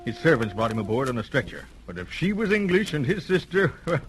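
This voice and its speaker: Man acting a salty, animated ship's captain. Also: mid-range voice, brisk, a little hiss or hum, natural voice, low-quality recording. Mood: wry, matter-of-fact. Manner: salty, colloquial, wry. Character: gruff, wry steamship captain, worldly and blunt. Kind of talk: 1930s-40s radio drama acting. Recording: old radio transcription with music.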